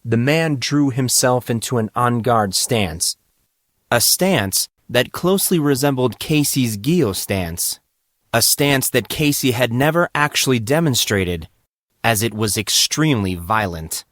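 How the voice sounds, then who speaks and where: A man reading scripted fantasy fiction as an audiobook narrator, with deliberate, dramatic storytelling. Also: medium pitch, animated, a bit bright, dry recording, studio quality.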